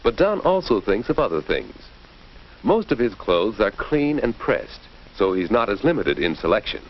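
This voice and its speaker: Lowish, animated man narrating vintage film. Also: moderate pace. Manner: instructive, rhetorical questioning. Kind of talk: scripted 1940s educational film narration. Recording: dull, noisy 1940s film soundtrack.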